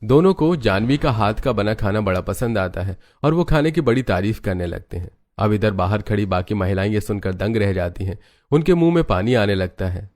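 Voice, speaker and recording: Male storyteller, lower-pitched voice, scripted village-tale narration. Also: expressive, normal speed, a little mellow.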